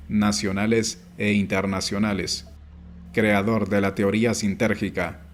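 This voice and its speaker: A man reading an audiobook as scripted narration, in a measured, formal way. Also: on the low side. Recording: music behind the voice. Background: background music.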